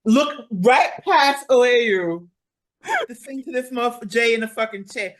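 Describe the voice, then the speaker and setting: A woman's voice: on the high side, measured pace. A woman in casual chat, talking bluntly and swearing freely. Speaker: podcast guest.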